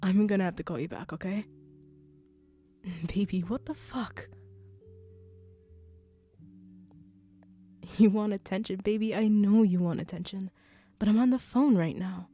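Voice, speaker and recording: A woman's voice: mid-range voice, normal speed, warm tone, lightly acted. A woman voicing an assured female mafia boss who is teasing and affectionate with her girlfriend, in an intimate audio roleplay. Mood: startled, amused.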